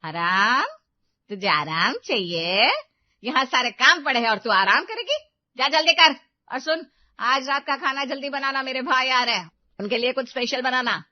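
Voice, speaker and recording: A woman's voice: high voice, expressive, normal speed, dry recording, muffled recording. A woman voicing a harsh, nagging older mother-in-law in an audio story, bossy and contemptuous as she scolds. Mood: angry, scolding. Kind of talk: Hindi audio story character acting.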